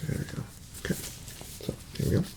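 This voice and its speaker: A man speaking softly and breathily, often near a whisper, in a gentle, reassuring ASMR doctor roleplay. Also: noisy background. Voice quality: soft-spoken, breathy, often dropping to a whisper. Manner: gentle, reassuring, unhurried murmuring to the listener. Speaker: ASMR performer playing a doctor. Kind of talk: ASMR medical exam roleplay.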